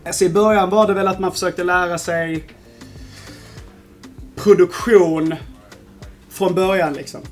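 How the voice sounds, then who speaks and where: Male producer guest, higher register, informal and modest, faint music behind. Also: fairly even intonation, measured pace, pauses often. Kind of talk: casual interview answers. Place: music studio.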